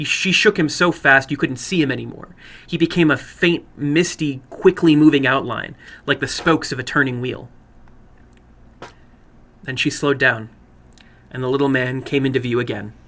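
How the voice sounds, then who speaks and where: A man narrating a horror story dramatically, in a deliberate, suspenseful manner. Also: medium pitch, bright, forceful tone. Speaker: horror fiction reader and host.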